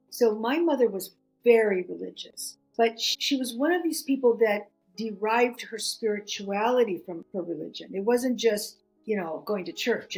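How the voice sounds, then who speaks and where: A middle-aged woman whose first language is Greek, a podcast guest telling stories in a lively way, full of restarts and "you know". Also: fairly high pitch, rough recording. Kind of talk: conversational storytelling.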